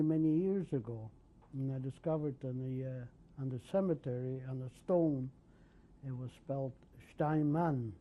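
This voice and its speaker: A man in his sixties, from Poland, recalling his early life in an oral history interview, speaking haltingly with many pauses and restarts. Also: a little high. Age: 60s. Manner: hesitant, many ums and restarts. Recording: dull lo-fi 1990s tape.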